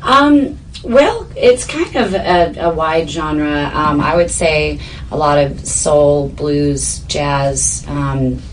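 A young woman from Boston, a musician guest chatting in an interview, laughing often and filling pauses with um. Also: low voice, distant mic, slight background noise, rough recording. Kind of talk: casual interview chat.

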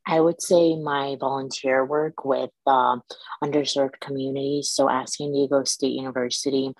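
A young woman from Iraq talks through her path as a dental student, measured and thoughtful, pausing often. Her voice sits very low with a gravelly edge, recorded dry and close. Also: dry recording, rough recording. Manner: measured, thoughtful, frequent "um" pauses. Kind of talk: casual interview answers.

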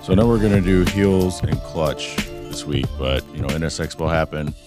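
A man hosting a casual podcast, hoarse and losing his voice, talking in a loose, rambling way with some swearing. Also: extremely low pitch, moderate pace, music in the background. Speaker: podcast host. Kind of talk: casual chat. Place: studio.

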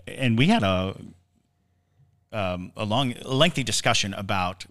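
Man, deep voice, podcast host, conversational, reflective, halting explanatory delivery. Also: lively intonation, a bit bright. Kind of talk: conversational podcast discussion of the paranormal.

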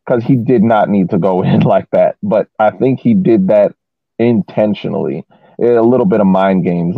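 A man co-hosting a sports podcast over a remote call, giving casual analysis with halting, repeated words. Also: low voice, no room sound. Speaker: podcast co-host. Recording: tinny phone-like call line, dry.

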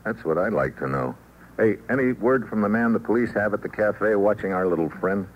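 An actor playing a cool, wry investigator who narrates his case in terse, deadpan slang, in an old-time radio noir drama. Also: on the low side, brisk, close to an everyday voice. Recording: muffled, noisy vintage radio recording.

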